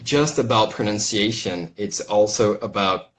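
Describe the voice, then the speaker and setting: Brazilian-accented man, halting and low. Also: slight background noise. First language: Portuguese. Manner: halting, many fillers and restarts. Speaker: English teacher guest. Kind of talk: casual interview chat. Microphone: video call.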